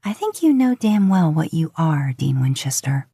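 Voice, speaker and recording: A woman's voice: deep voice, big pitch swings, moderate pace, dark tone, slightly rough, no room sound, clean studio sound, full character voice. A woman voicing a cautious, flustered young woman with a hesitant, guarded manner in audiobook dialogue. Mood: guarded, playful.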